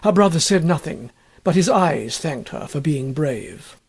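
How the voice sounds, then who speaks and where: A man's voice: a little high, expressive, measured pace, creaky, breathy edge. A man reading a comic literary short story as scripted narration. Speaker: audiobook reader.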